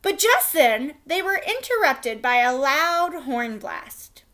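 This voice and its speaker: A woman teacher reading a chapter book aloud to children with expressive, animated storytelling. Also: high voice, takes it slowly.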